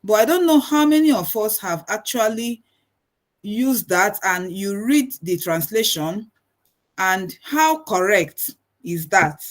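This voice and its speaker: A woman with a Nigerian accent, a native Igbo speaker, delivers a technical webinar talk at a middle pitch. She works through her points methodically, ticking off items one after another and pausing to restart phrases. Her voice comes through a video call microphone. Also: animated. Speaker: webinar presenter. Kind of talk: technical lecture.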